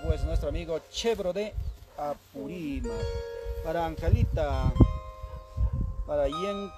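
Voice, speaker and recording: A man's voice: on the high side, low-quality recording. A man hosting a live music broadcast, reeling off long lists of greetings and dedications with a friendly, easygoing "pues". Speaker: host of an online music broadcast. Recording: loud music playing under the voice.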